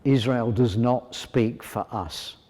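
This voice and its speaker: A man giving deliberate, earnest interview answers. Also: mid-range voice, dark tone, in a large hall.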